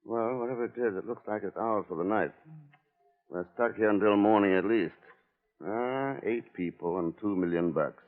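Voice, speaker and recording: A man's voice: fairly low pitch, normal speed, close to an everyday voice. A man playing a cool, watchful investigator in a vintage radio drama, speaking with dry, suave understatement. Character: cool, wry, watchful male investigator hero. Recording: muffled, band-limited old radio recording.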